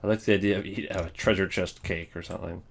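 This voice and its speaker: A man's voice: low voice, fairly even intonation, fast talker, gravelly. A man giving casual, rambling commentary, thinking aloud as he goes. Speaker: video creator ranking game voice lines.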